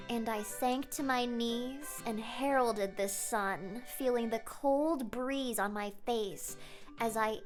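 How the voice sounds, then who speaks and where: Chatty high-voiced woman streamer. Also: a bit bright. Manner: chatty and rambling, quick laughs. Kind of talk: casual live-stream chat, reading chat questions aloud.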